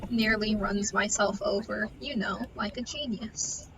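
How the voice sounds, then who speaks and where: A woman's voice: measured pace, muffled recording. A woman chatting casually with friends on a gaming stream, playful and teasing with a wry manner. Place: voice chat on a game stream. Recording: noisy voice chat, steady rumbling hiss.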